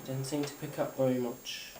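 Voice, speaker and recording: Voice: medium pitch, flat delivery, mellow, distant mic, slight background noise. British gadget demonstrator, echoey and off-mic. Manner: hesitant, halting, thinking aloud. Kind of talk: casual hands-on product demonstration. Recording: roomy echo, off-mic, radio and music playing. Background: music from the player being shown.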